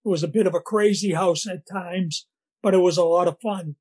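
An older man with a Boston accent and a scratchy voice, telling his recovery story at a meeting in a halting way, with many fillers and restarts. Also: sits high, fairly even intonation, a little mellow, breathy edge. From Massachusetts, USA.

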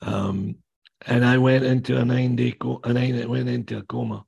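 Older male guest speaker, raspy and gravelly, low flat delivery, blunt and plainspoken. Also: moderate pace. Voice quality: raspy voice from damaged vocal cords. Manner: plainspoken, blunt, mild swearing. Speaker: guest speaker sharing recovery story. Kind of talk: personal testimony talk.

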